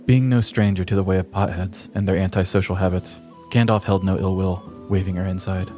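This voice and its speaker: A man narrating a scripted comedic fantasy story in a theatrical, measured, mock-epic style. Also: deep voice, steady tone. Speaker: podcast host and storyteller. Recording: prominent music behind voice.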